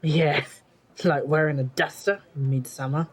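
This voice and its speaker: Young male occultist, played by an actor: arrogant, sarcastic, fairly high voice, audio drama delivery. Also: steady tone, measured pace, breathy edge. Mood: dry, suspicious. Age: young adult. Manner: sarcastic, overconfident.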